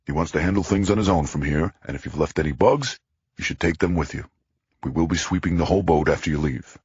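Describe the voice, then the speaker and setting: A man's voice: very deep voice, fast, a little mellow, breathy edge, no room sound, highs cut off, exaggerated voice. A man voicing a large, blunt lawyer with a Brooklyn accent. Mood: firm. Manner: blunt, businesslike. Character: large bald blue-collar lawyer, blunt.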